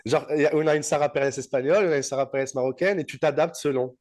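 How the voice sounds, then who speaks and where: A man's voice: on the high side, fairly even intonation, racing, darkish tone. A man hosting a podcast in a casual, chatty interview style. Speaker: podcast host.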